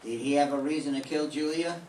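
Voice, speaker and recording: A man's voice: on the high side, fairly quick, darkish tone, close to an everyday voice. Older man playing a hard-boiled male private eye, clipped, dry, 1940s radio play, echoey room. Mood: terse. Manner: clipped, dry tough-guy delivery. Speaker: amateur radio drama student. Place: classroom. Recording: roomy classroom from a distant mic, faint hiss.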